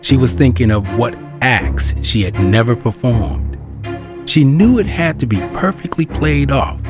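A man reading scripted narration for an audio drama, with string music behind him. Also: mid-range voice.

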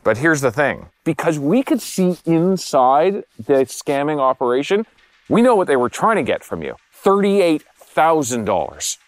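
A man's voice: fairly high pitch, sing-song, unhurried, dry recording. A man interviewing as a reporter, speaking pointedly to explain and reveal information.

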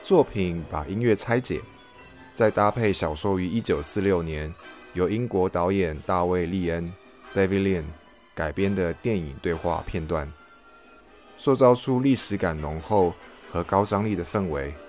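A man's voice: low voice, moderate pace, a little mellow, faint music behind. A man hosting an audio log, reading a scripted first-person review as narration. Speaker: podcast host, reviewer.

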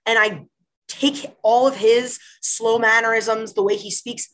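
A woman on a talk show gives her view in a somewhat high voice. She hedges and uses fillers as she thinks aloud. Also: fairly even intonation, measured pace, a bit bright. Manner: thoughtful, hedging, filler-heavy. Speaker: co-host. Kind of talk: casual sports talk.

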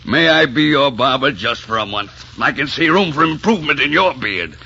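Old muffled radio play, fussy loyal manservant, very piercing tone, formal, declamatory, gravelly. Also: male voice, medium pitch, expressive, moderate pace, bright, forceful tone, heavily acted. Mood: deferential, pleading. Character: loyal, fussy male servant to a French prince. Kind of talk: 1940s radio drama acting. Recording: muffled 1944 radio broadcast with music.

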